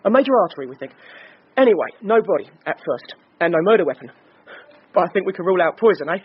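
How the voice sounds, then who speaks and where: Keen male police sergeant, radio drama: high voice, quick talker, clumsily jokey, dull sound. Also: lively intonation, breathy edge. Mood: eager, chatty. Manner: matter-of-fact with clumsy jokiness. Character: eager, chatty, blundering young male detective sergeant.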